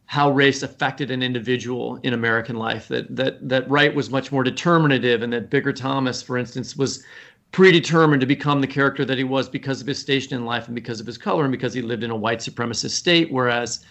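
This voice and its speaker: Creaky-voiced man explaining things earnestly. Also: medium pitch, steady tone, quick pace, fairly bright tone. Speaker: guest writer. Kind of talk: conversational literary podcast discussion.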